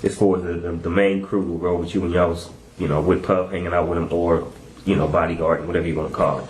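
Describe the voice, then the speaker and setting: American detective dude, low voice, talks real informal, echoey with a bit of noise. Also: male voice, flat delivery, fast talker, a little mellow. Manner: informal, colloquial. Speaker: police interrogator. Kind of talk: casual conversational questioning. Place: indoor police interrogation room. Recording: echoey, faintly noisy interview room recording.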